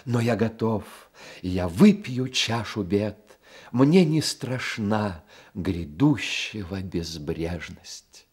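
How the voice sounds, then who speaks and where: An actor playing a proud, witty young poet in a radio drama, with measured, declamatory delivery. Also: male voice, fairly low pitch, lively intonation, slightly rough, heavily acted. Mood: romantic recital. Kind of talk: Soviet radio drama acting.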